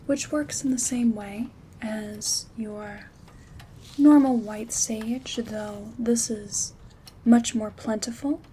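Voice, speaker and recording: A woman playing a gentle, caring witch herbalist in an ASMR roleplay, soft-spoken and often whispering in a soothing, intimate way. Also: on the high side. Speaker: ASMR performer. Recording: soft rain and handling noise. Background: rain sounds, craft rustling.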